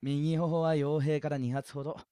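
A woman playing an easygoing, teasing high school boy in an audio drama, with a light, self-deprecating, joking way of talking. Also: flat delivery, moderate pace, mellow, clean tone, dry recording, lightly acted. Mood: wry. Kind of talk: anime-like Japanese audio drama acting.

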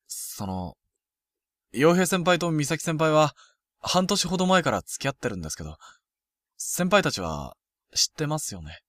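A man playing a frank, proud, sporty first-year high school boy in a Japanese youth romantic comedy voice drama. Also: big pitch swings, moderate pace, long pauses, fairly bright tone, no echo, studio quality. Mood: serious.

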